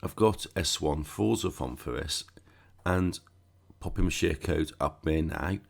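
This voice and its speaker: A man hosting a gaming channel, croaky and stuffed up with a cold, chatting casually through a game walkthrough with sarcastic asides. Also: a bass voice, measured pace.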